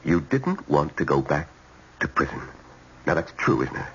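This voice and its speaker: A man's voice: very deep voice, sing-song, normal speed, breathy edge, full character voice. An actor playing a troubled, indecisive married man in an old-time radio drama, with a hesitant delivery. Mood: grave, resolved. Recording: dull, slightly noisy old radio recording.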